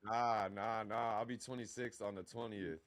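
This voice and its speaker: A man's voice: on the low side, steady tone, normal speed. A man in his mid-twenties hosting a podcast, asking questions in loose, rambling casual talk. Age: 20s. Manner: loose, rambling, lots of "you know what I mean". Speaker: podcast host. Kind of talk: casual interview chat.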